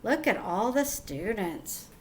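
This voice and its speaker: A woman teacher reading a picture book to young children, expressive and instructive, pausing to ask questions. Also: medium pitch, slight background noise. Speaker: teacher reading aloud to pupils.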